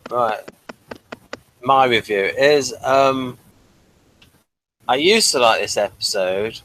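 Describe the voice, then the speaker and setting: A man's voice: mid-range voice, slow pace, a bit bright. A mild-mannered man hosting a casual, unscripted review chat, a little hesitant as he talks. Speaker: review show host. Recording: noisy room ambience.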